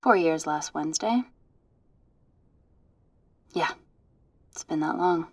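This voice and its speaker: A woman's voice: low-pitched, slow, husky, exaggerated voice. Composed, matter-of-fact woman scientist. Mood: matter-of-fact, wry. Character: composed woman scientist leading a high-security lab. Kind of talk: naturalistic film acting.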